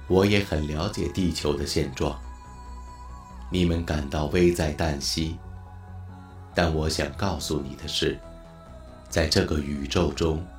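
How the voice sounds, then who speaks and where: A man playing an ancient, benevolent cosmic being in an audio drama, speaking slowly and solemnly with a booming, sonorous voice.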